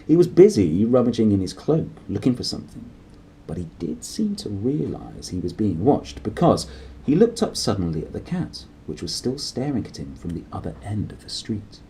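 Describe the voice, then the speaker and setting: A man narrating a children's storybook aloud in an expressive style. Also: on the low side, moderate pace, a little hiss or hum, poor audio. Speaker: storybook reader.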